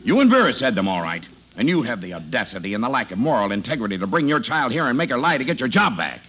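An actor playing a pompous, wordy, indignant boss in a 1950s radio comedy. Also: male voice, mid-range voice, sing-song, fast, fairly bright tone, breathy edge. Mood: stern. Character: pompous, indignant male boss, henpecked by his wife. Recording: dull old radio recording.

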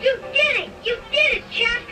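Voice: extremely high pitch, moderate pace, a loud crowd. A performer voicing an eager, competitive child in a cartoon read-along story. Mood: excited. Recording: old record playback with music.

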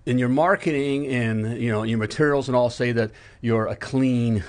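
A middle-pitched man in his forties hosts a live studio podcast, talking plainly and folksily. He keeps checking in with "okay?" and "all right" as he explains. The sound is close and clean from a boom mic. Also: normal speed. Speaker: podcast host. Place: studio with desk and backdrop screen. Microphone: boom mic on desk arm.

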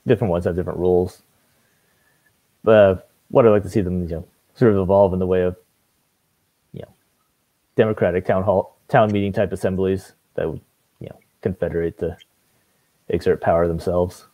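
A young man from Maine, a city council candidate, talking on a video call from a room at home, relaxed and hesitant, with many fillers. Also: low-pitched, normal speed, long pauses, dry recording, muffled recording. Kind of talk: casual video call interview. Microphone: video call webcam.

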